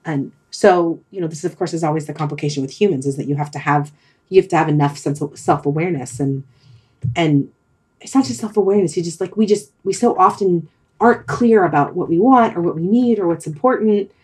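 Husky low-voiced woman, thinking aloud. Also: a little fast, darkish tone. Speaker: podcast discussion participant. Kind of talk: casual reflective discussion.

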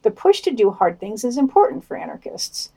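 A woman's voice: on the high side, sing-song, brisk, dark tone, breathy edge. A woman co-hosting a podcast, reading scripted news commentary and quotations with occasional wry asides. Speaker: podcast co-host.

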